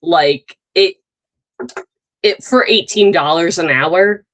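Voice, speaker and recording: Slow low-voiced woman in her thirties. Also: a bit bright, a little hiss or hum. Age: 30s. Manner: rambling with many fillers and restarts. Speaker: podcast co-host. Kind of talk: casual chat.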